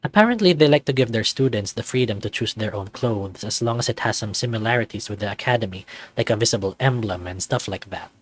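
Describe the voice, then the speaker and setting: A man's voice: lowish voice, animated, fast talker, soft background music. A young man reading first-person visual novel narration in a cocky, wry, conversational manner.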